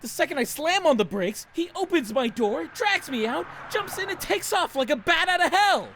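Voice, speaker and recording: A woman's voice: very high voice, very animated, normal speed, a bit bright, a little hiss or hum, exaggerated voice. A woman playing a frantic, outraged carjacking victim calling for help in an audio drama. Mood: frantic outrage.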